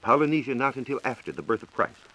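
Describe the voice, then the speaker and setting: A man's voice: mid-range voice, very animated, fast, pushed and bright, rough recording. A man with an American accent giving a formal, explanatory scripted science talk on 1940s radio. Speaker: science reporter.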